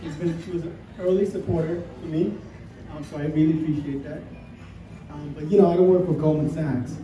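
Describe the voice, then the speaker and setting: A man's voice: on the high side, fairly even intonation, fairly quick. A man, a judge, giving brief joking remarks at a gala with easygoing fillers. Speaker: judge and guest speaker. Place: gala event hall. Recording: echoey hall, distant, crowd.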